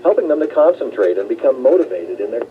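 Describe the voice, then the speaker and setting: A man's voice: mid-range voice, very animated, fast talker, distant mic, a little hiss or hum. Tinny man narrating over shortwave radio. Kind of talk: scripted charity radio narration.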